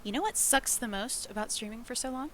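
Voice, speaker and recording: A woman's voice: on the high side, brisk, a little hiss or hum. A woman chatting playfully and casually while live-streaming a game from home.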